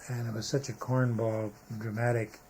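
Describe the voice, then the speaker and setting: An older American man telling anecdotes casually as an interviewee, haltingly searching for words. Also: mid-range voice, little pitch movement, darkish tone. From United States (Arizona). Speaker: interviewee, retired-era Hollywood photographer. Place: photographer's studio, indoors. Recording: noisy room ambience.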